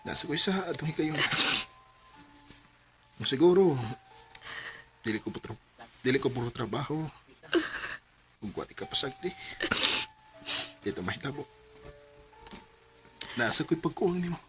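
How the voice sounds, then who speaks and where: A young man with a Cebuano accent, earnest and soft-spoken, acting the lead in a radio drama. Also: a little high, lively intonation, normal speed, pauses often. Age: young adult. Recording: faint music underneath.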